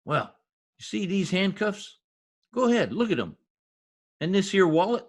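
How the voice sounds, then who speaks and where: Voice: medium pitch, very animated, normal speed. A performer in a 1940s-style radio comedy-mystery voicing a shady, wisecracking informant with slangy, ungrammatical talk. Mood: sly, wry. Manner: streetwise slangy talk with bad grammar. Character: shady, wisecracking lowlife gangland informant nicknamed Piggy. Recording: husky-sounding home recording over video call.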